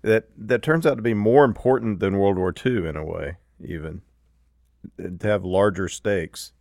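Host type: low gravelly man, casual and hesitant, dry close mic. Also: measured pace, darkish tone, no room sound. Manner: conversational, hesitant, asks questions. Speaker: interviewer. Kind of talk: casual panel discussion.

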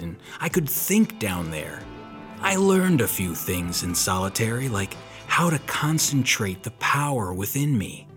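A man playing a tough, sardonic ex-convict private eye in a hardboiled pulp audio drama, with deadpan delivery. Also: fairly low pitch. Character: tough, wry ex-convict private detective with magic.

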